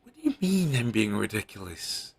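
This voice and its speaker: A man playing a devoted, apologetic talent agent in an audio roleplay, earnest and hesitant, with a husky, gravelly voice on a low-quality amateur recording. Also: very animated, moderate pace, heavily acted. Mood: defensive, apologetic. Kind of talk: scripted audio roleplay, intimate one-sided dialogue.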